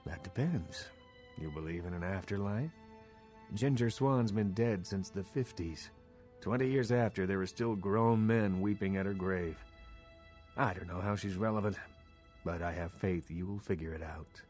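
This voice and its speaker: A man acting as an old vampire crime boss in a game, low-pitched and cool. He is measured, knowing and wry, with music playing underneath. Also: a little mellow, natural voice. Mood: nostalgic, wry. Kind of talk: dramatic video game voice acting.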